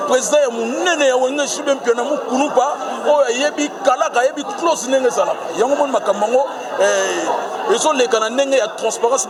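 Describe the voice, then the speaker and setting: A man asking a long, rambling question at a press conference, mixing Lingala and French. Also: very high voice. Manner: talks mostly Lingala with French words, discursive.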